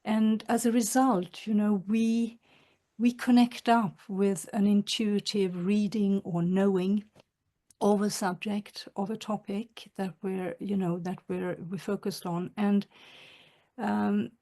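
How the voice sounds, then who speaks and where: A woman from northern Sweden, an author giving a reflective webinar talk, soft-spoken and halting with many fillers, over a video call. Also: mid-range voice, level tone. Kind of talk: reflective lecture with read quotations.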